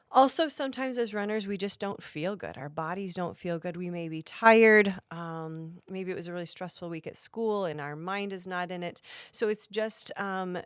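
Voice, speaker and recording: A woman's voice: lowish voice, no echo, clean studio sound. A woman cross country coach from Ohio with an Ohio American accent, answering interview questions thoughtfully with many ums.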